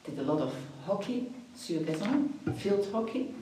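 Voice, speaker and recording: A woman's voice: mid-range voice, very animated, moderate pace, very echoey, distant mic, background noise. A Dutch woman in her fifties, chatty, answering interview questions and often restarting her phrases. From Netherlands. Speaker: after-school activities coordinator.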